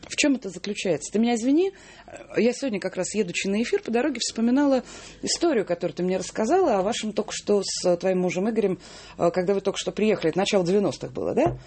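A woman hosts a radio talk show at a mid-range pitch. She speaks in a lively, conversational way. She stretches out her fillers as she builds up her questions. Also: rapid-fire, husky. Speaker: radio host. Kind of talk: radio interview hosting.

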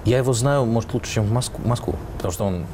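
A man's voice: medium pitch, fast talker, crowd noise. A man, a musician being interviewed, answering in a reflective way as he searches for words.

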